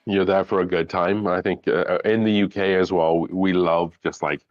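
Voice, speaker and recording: A Welsh man in his thirties talks in a relaxed, slightly mumbly way, his Welsh accent clear. His voice sits very low. The sound is dry and a little dull. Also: moderate pace, no echo, faint music behind. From Wales. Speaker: guest whiskey taster. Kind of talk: casual chat.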